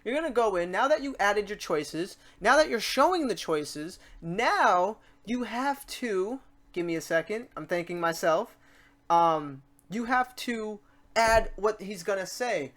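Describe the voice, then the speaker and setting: A woman hosting a casual software tutorial, talking informally and reassuringly to the viewer in an animated way. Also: mid-range voice, a little slow. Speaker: tutorial host.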